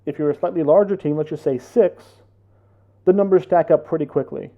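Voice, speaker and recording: Higher-pitched American man narrating an explainer. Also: brisk, dull audio. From United States. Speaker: presenter for a tech consultancy.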